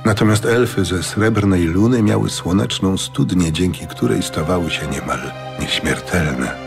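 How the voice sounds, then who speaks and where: A man narrating a scripted fantasy audiobook with measured, dramatic storytelling. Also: deep voice, creaky. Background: music.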